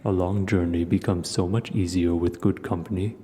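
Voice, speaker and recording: A man voicing a huge, ancient male dragon in an audio roleplay, aloof yet hospitable, speaking in a slow, deliberate, musing way. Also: sits low, fairly even intonation, dark tone, a little hiss or hum, close to an everyday voice. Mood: calm, wry. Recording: cavernous echoey reverb.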